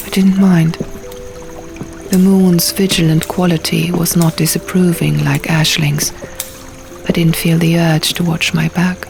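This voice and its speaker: A woman reading a first-person fantasy audiobook in a measured, dramatic storytelling manner. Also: low-pitched, gravelly. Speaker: audiobook narrator. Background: music and sound effects.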